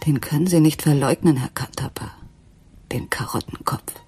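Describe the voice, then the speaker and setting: A woman playing a troubled woman searching for the truth in a German radio crime drama, with a breathy, husky voice. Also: low-pitched, normal speed, warm tone, slight background noise, close to an everyday voice. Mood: anguished, whispering.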